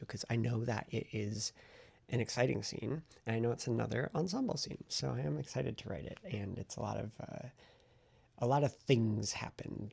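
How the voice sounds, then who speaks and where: Male writing vlogger, casual and effusive, fry-laden husky voice, close dry sound. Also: medium pitch, a little mellow, no room sound. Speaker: novelist vlogger documenting writing progress. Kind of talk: casual vlog chat to camera.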